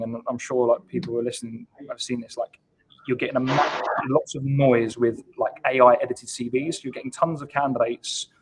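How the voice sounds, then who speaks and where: A man with a husky voice explaining his points in a conversational business interview, emphatic and often repeating words as he builds them. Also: medium pitch, low-quality recording. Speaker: guest account executive at a software company. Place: online live video call.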